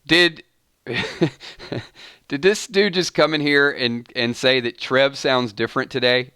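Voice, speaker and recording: Bright, crisp-voiced man hosting a live stream. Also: medium pitch, measured pace, no echo, clean studio sound. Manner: animated, breaks off mid-sentence with repeated "hold on" and "wait a minute". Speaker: fan channel host. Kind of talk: live-stream commentary reading chat.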